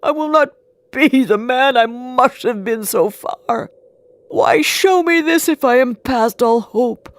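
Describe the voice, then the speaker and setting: Woman playing excitable old repentant miser, high-pitched. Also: slow, no room sound. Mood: desperate pleading. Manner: exclamatory, emphatic pleading and rejoicing. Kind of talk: storybook character voice.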